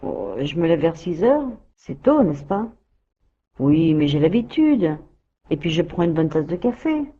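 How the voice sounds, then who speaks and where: Woman, fast light chat, lo-fi distant recording. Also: low-pitched, sing-song, husky. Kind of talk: everyday dialogue for learners.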